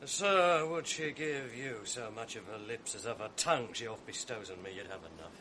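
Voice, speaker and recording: An actor playing a scheming, sardonic male ensign in a 1980s radio drama, mocking and sly, sinking to a hushed mutter when plotting. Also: medium pitch, normal speed, a little hiss or hum, natural voice.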